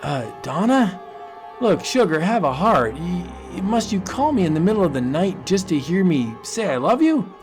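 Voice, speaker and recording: A man's voice: high-pitched, sing-song, normal speed, faint music behind, pitched above the performer's own voice. A man voicing a brash young male police detective in an old cartoon, breezy and wisecracking. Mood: teasing.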